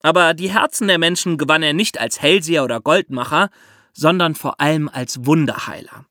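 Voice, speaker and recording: A man hosting a podcast, explaining step by step in lively storytelling for children with theatrical emphasis. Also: on the high side, fairly quick, dry recording, clean studio sound.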